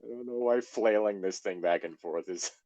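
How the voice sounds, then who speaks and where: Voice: sits low, normal speed, dark tone, dry recording, highs cut off. A co-host chatting casually and reacting to a story with joking commentary.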